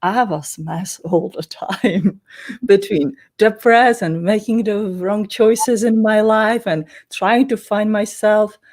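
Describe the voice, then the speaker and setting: A woman's voice: medium pitch, takes it slowly, a little mellow. A middle-aged woman from the Czech Republic with a Czech accent, a native Czech speaker, explaining things casually and in a rambling way as an interview guest. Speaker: interview guest, energy healer.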